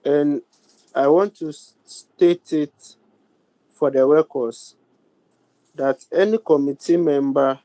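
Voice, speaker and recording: Slow, formal Ghanaian man chairing. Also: fairly high pitch, warm tone, no room sound, poor audio. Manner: formal, deliberate, halting. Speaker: speaker of a youth parliament, chairing a sitting. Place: virtual meeting over video call. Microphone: video call.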